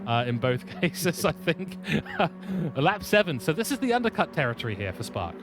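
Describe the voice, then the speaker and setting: Lively man commentating a race live. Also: a little high, normal speed, music in the background. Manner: lively, self-deprecating, playful asides. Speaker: sim racing lead commentator. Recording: steady background noise.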